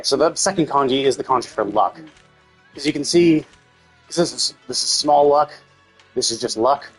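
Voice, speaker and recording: A man's voice: medium pitch, slightly rough, rough recording. A man streaming a game live with casual commentary, thinking aloud tentatively and correcting himself as he goes.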